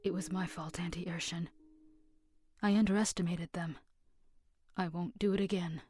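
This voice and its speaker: A woman's voice: lowish voice, fairly even intonation, moderate pace, darkish tone, husky, no echo. A woman as a terse, earnest young henchwoman, close and dry. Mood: contrite. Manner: terse, serious. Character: earnest, guarded young female crime henchwoman. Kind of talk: audio drama acting.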